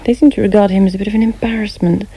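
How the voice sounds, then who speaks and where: A teasing wife and sharp reporter in a radio play. Her voice is mellow and husky, with a creaky edge, and her delivery is wry, intimate and natural. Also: medium pitch, steady tone, rapid-fire. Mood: intimate, confiding. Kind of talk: naturalistic radio drama acting.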